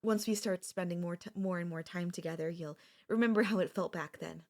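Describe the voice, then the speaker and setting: An obsessive young woman who dotes on her captive childhood friend, acted out by a woman for an ASMR audio drama. Her voice sits a little low for a woman. She speaks softly and intimately, with a clingy, pleading, possessive devotion. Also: steady tone, brisk, close to an everyday voice.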